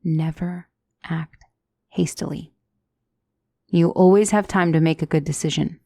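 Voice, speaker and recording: Woman voicing a demonic female mentor, gentle and measured, dark mellow tone, close dry sound, ASMR roleplay. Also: deep voice, fairly even intonation, creaky, no room sound, full character voice. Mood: calm, instructive. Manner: measured, deliberate, soft-spoken, instructive. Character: gentle demonic female tutor, calm strategic battle mentor.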